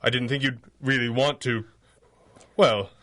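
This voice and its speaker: Casting: stammering, apologetic masked hero, mid-range voice, 1930s radio adventure. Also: male voice, medium pitch, fairly even intonation, a little slow, pushed and bright. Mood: awkward, sheepish. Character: flustered, apologetic adult male masked superhero, secretly lovestruck.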